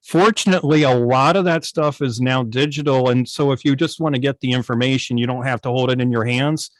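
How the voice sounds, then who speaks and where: A man speaking as a guest on a casual video call, telling halting anecdotes like a keen hobbyist. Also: mid-range voice, no echo. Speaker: guest, old-time radio station operator. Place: indoors at home on a video call.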